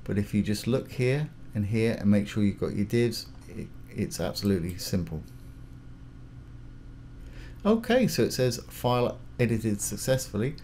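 Man, lowish pitch, informal tutorial host, step-by-step delivery, talks through each action, faint noise with keyboard clicks. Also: very animated, normal speed, a little mellow. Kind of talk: casual step-by-step software tutorial. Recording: clear background noise, keyboard typing.

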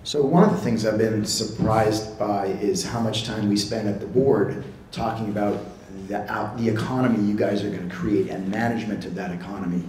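A man's voice: fairly low pitch, steady tone, normal speed, a little mellow, slight background noise. A middle-aged man interviewing on stage, asking casual questions with fillers, on a handheld mic in a large hall. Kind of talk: fireside chat interview. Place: large hall with seated audience. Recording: roomy hall sound.

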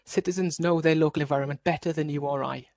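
Welsh-raised man with a gravelly voice. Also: a little high, fast talker, dry recording, clean studio sound. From Wales. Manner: explanatory, steady, frequent restarts and fillers. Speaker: researcher presenting at a workshop. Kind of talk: online conference presentation.

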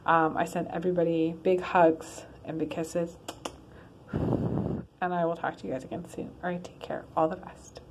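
Woman tarot reader, lower-pitched voice, loose and rambling, filmed on a phone. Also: flat delivery, moderate pace, very noisy. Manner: rambling, many ums and restarts. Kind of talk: casual one-card tarot reading. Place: in her car.

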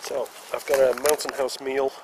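A man's voice: medium pitch, little pitch movement, normal speed. A British man in his forties casually explaining outdoor camp cooking step by step, recorded outdoors in woodland on a camera mic. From United Kingdom. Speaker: outdoor vlogger. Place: woodland picnic table outdoors. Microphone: camera mic, handheld then on a tripod. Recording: outdoor camera audio with clear background noise. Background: open outdoor air in woodland.

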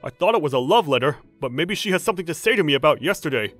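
An actor playing a polite, flustered teenage schoolboy in a manga dub, earnest and prone to stammering. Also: male voice, on the high side, lively intonation, racing, fairly bright tone, no room sound, faint music behind. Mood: nervous. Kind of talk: manga dub voice acting, scripted.

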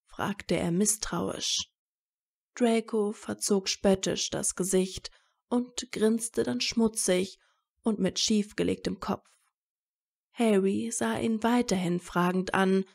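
Slow, creaky-voiced woman narrating a story. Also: mid-range voice, darkish tone.

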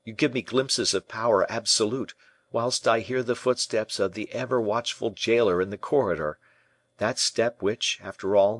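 Man playing a proud, lively young captive prince. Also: lowish voice, slightly rough, dry recording. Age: young adult. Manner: formal archaic speech, rhetorical questions, impassioned. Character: proud, embittered young captive prince, hot-blooded and despairing.

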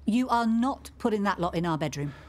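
An actress playing a hospitable, no-nonsense middle-aged pub landlady in a radio soap, brisk and direct. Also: mid-range voice, lively intonation, darkish tone, natural voice. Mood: exasperated. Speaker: actor.

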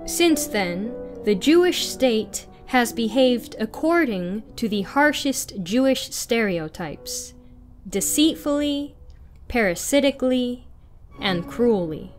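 A young woman reading a written article aloud as narration, in a deliberate, formal manner. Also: on the high side, lively intonation. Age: young adult. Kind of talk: scripted read-aloud narration. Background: music.

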